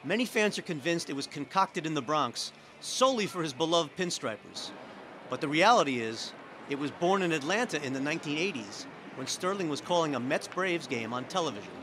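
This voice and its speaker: A man's voice: fairly high pitch, animated, a little fast, background noise. A man reading scripted narration for a news feature as a reporter.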